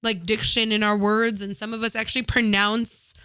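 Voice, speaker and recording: A woman guest in casual podcast chat, a little tipsy, animated and swearing, laughing often. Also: mid-range voice, moderate pace, smooth, no echo. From United States.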